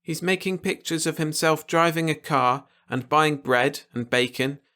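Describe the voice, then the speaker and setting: Man playing an innocent, bright-voiced little boy. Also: a little high, a little slow, voice pushed up. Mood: earnest, straining. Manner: naive, earnest, simple wording. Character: innocent, trusting little boy who reads minds.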